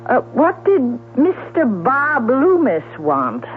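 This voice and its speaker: An actress playing an anxious, caring sister, earnest and deliberate, in a 1970s radio drama. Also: female voice, high-pitched, huge pitch swings, faint music behind, heavily acted. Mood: worried, insistent. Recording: tinny, noisy vintage radio broadcast with hum.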